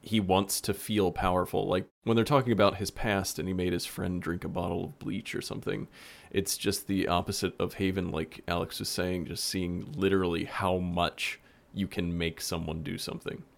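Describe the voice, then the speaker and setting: Low creaky guy talking through ideas in a laid-back panel chat. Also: level tone, normal speed. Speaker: show creator. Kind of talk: casual roundtable chat.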